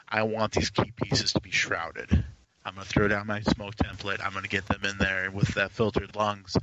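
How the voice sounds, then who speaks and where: A man's voice: lowish voice, highs cut off. A man, a podcast guest, chatting casually about tabletop gaming. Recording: clearly noisy line with clicks.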